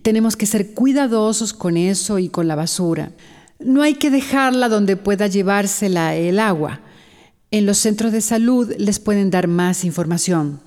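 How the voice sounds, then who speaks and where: A woman with a Costa Rican accent playing an emergency committee doctor giving calm, measured advice in a radio drama. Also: mid-range voice, long reverb tail, natural voice.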